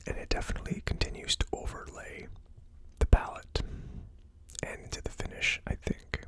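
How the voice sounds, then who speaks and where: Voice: moderate pace, rough recording. Whispering ASMR whiskey reviewer. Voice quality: breathy, unvoiced whisper. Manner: hesitant, thinking aloud. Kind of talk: ASMR whispered tasting commentary.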